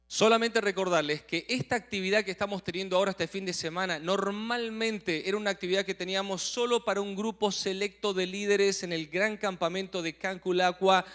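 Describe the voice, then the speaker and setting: High-pitched, smooth-voiced man hosting. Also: steady tone, fairly quick, few pauses, fairly bright tone. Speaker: event host. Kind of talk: live online broadcast presenting.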